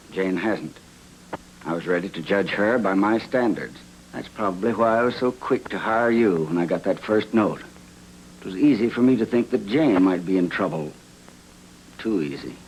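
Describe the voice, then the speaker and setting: An actor playing a gruff, self-made wealthy client in a film noir, blunt and commanding. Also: male voice, low voice, a little hiss or hum, poor audio. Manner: blunt, plain-spoken, commanding. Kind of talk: film noir screen acting.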